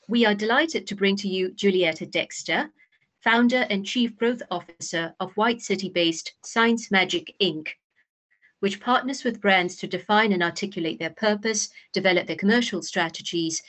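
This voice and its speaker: A woman's voice: medium pitch, moderate pace, a bit bright. Woman host giving a formal introduction. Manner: formal, scripted, occasional fillers.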